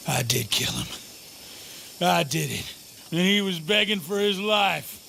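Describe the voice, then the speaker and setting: Gravelly, sneering outlaw villain. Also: male voice, high-pitched, animated, pushed and bright, a little hiss or hum, heavily acted. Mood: mocking. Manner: mocking, drawn-out. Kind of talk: dramatic video game acting.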